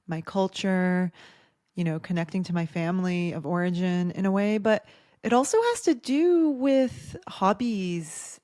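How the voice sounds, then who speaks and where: A young adult woman from Bosnia hosting a solo podcast, talking in a calm, reflective, unhurried way. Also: lowish voice, no echo, clean studio sound. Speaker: podcast host.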